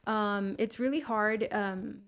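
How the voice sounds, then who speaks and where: Young woman from California, podcast guest; friendly, chatty, filler-heavy, mid pitch. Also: slow pace, clean tone. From California, USA. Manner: friendly, chatty, many ums and uhs. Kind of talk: casual interview chat.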